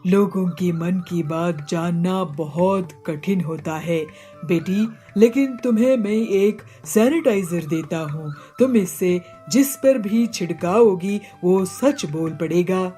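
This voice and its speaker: A gentle old holy man from a children's cartoon speaks slowly and kindly, voiced by a woman. Soft music plays behind him. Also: fairly low pitch. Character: old male sage, kindly and calm.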